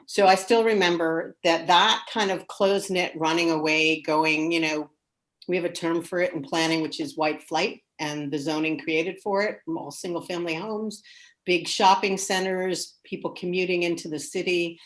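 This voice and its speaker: A woman's voice: lowish voice, steady tone, moderate pace. A middle-aged or older American woman telling personal stories casually in an online discussion over a video call. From United States. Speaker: discussion participant. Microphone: video call microphone.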